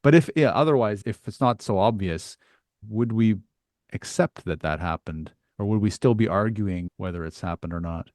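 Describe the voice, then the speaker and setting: Low-voiced man hosting a podcast interview. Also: normal speed, darkish tone, dry recording, studio quality. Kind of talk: conversational interview.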